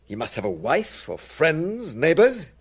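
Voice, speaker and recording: An actor with a British accent playing a prickly, pompous, sceptical judge in a radio drama. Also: male voice, a little high, huge pitch swings, unhurried, husky, exaggerated voice. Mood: stiffly impatient. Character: prickly, formal, impatient male examining judge.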